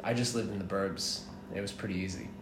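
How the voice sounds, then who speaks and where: A man's voice: deep voice, steady tone, a little fast. A man in his thirties from the United States, talking as a guest in a casual interview, laid-back and rambling, laughing now and then and swearing casually. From United States, born in Miami, raised in Atlanta. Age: 30s. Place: hostel. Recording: roomy echo with clear background noise.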